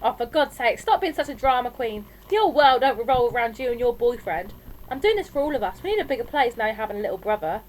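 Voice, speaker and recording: A bossy, superstitious mum of middle age acted out by a woman reading an audiobook. She speaks in an emphatic, scolding, colloquial way, with big swings in a fairly high pitch. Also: big pitch swings, quick pace, poor audio. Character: bossy pregnant working-class mum, stubborn, superstitious. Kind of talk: audiobook character voice.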